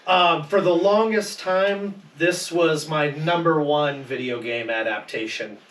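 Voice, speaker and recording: A man's voice: a little high, measured pace, a bit bright. A man on a podcast panel talking casually about films, enthusiastic and full of opinions. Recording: roomy echo, distant mic, faint noise.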